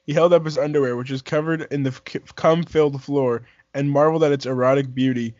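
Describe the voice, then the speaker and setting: A man's voice: medium pitch, fairly even intonation, brisk, a little mellow, no echo. A young man casually reading a story aloud to friends, stumbling over lines and repeating them. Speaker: amateur fan fiction reader. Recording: dry, muffled lo-fi voice chat.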